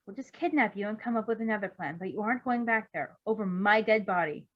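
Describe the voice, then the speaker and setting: A woman reading the part of an affectionate, playful and protective male doctor with warm emphasis in a story. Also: mid-range voice, animated, fast, close to an everyday voice. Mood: protective, fond. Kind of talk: amateur fan fiction character reading. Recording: dull lo-fi recording.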